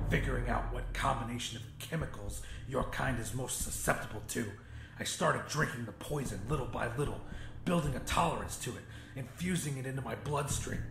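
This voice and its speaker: Man doing a low, damaged-voiced, sardonic young ghoul. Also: creaky, roomy sound, noisy background, natural voice. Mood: grimly smug. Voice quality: damaged, low vocal cords. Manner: sardonic, casual swearing. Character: ghoulish, mutilated young man, grimly defiant avenger. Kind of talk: audiobook character voice.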